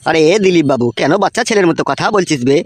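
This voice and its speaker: Man voicing a rapid-fire, high-pitched cheeky villager. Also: lively intonation, no echo, lightly acted. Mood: blunt. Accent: rural West Bengal. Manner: crude, swearing, teasing and insistent. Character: cheeky foul-mouthed village man, nosy advice-giving friend. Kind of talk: comic cartoon dialogue.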